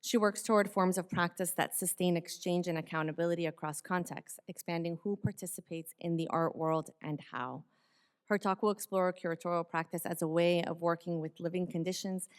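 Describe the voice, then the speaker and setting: A woman hosting an event reads a prepared formal introduction in a measured, articulate way, phrase by phrase. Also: on the low side, clear, resonant tone. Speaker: event host introducing a speaker.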